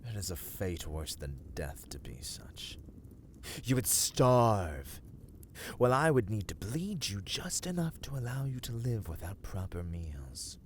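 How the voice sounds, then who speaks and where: A man playing a brooding, self-loathing vampire in a dramatic ASMR roleplay, speaking in a formal, archaic, hushed and breathy manner. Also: deep voice, a little hiss or hum. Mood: grim, bitter. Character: brooding, self-loathing male vampire, menacing yet conflicted.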